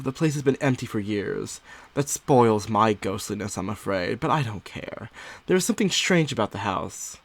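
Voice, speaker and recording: A man voicing an anxious young Victorian wife confiding in her diary, in an expressive, dramatic audiobook reading with drawn-out words and pauses. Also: medium pitch, no room sound, natural voice. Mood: wistful.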